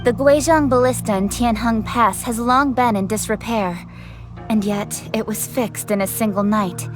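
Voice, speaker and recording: Stern, direct young game official, voiced by a woman, pitch a touch high. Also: steady tone, moderate pace, music in the background, natural voice. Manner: crisp, direct, a little sharp. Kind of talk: video game voice acting.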